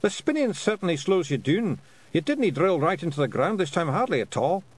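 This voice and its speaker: A man's voice: a little high, very animated, fairly quick, husky, voice pushed up. A man voicing a tiny, bold pictsy clan leader with a blunt, emphatic Scottish dialect, in a storybook reading. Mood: cheerful.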